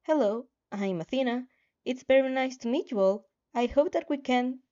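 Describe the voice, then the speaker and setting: A woman's voice: high-pitched, normal speed, dark tone, dry recording, pitched above the performer's own voice, natural voice. A woman voicing a polite, nervous teenage girl who is a new student, with hesitant delivery, in a fanfiction reading. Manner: hesitant, stammering. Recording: slightly muffled audio with music behind.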